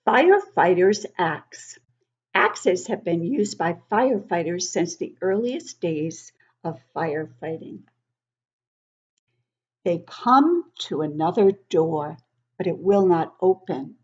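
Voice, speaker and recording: A woman reading a picture book aloud to young children, expressively, pausing to explain things and ask the listeners questions. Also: on the low side, expressive, darkish tone, husky, highs cut off. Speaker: children's book club host and reader.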